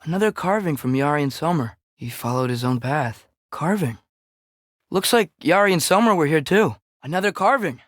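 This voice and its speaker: A man's voice: a little high, animated, normal speed, no room sound, clean studio sound, natural voice. A young man playing an eager, earnest, curious teenage boy, a companion in a video game, fighting beside his father.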